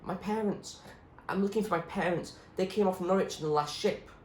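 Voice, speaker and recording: A man's voice: high voice, a little fast, voice pushed up, natural voice. A man voicing an anxious, stubborn young girl who pleads urgently as she searches for her parents, in a storybook reading. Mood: desperate. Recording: echoey room with clear background noise.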